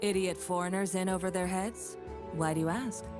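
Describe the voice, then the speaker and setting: An actress plays a cocky mercenary woman for a video game. Her voice sits low, and she speaks with dry sarcasm and easy dismissiveness. Clear music plays behind her. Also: fairly even intonation, moderate pace, lightly acted. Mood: sardonic. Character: cocky, sardonic, money-loving mercenary woman warrior. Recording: background music under the voice.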